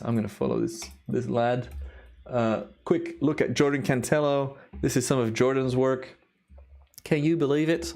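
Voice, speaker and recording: Male streamer, medium pitch, loose casual commentary, easily awed, voice wearing thin. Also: darkish tone. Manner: enthusiastic, awed exclamations. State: voice tiring from a day of recording. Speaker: live stream host, timelapse photographer.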